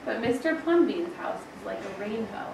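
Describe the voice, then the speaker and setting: A woman primary school teacher reading a picture book aloud to a young class with expressive, emphatic storytelling. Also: medium pitch, moderate pace, creaky. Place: indoor classroom, children present. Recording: echoey classroom from far off, some noise.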